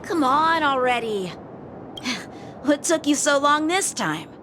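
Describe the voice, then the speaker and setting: A woman's voice: high voice, very animated, slow, bright, forceful tone, full character voice. A woman voicing a brash, impatient teenage boy knight in a video game, cocky and indignant in delivery. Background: music behind the voice.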